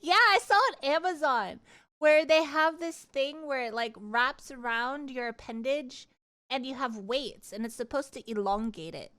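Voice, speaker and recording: A woman chatting casually on a livestream, telling stories in an animated, rambling, giggly way. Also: high voice, measured pace. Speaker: streamer. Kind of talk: casual livestream chat and storytelling.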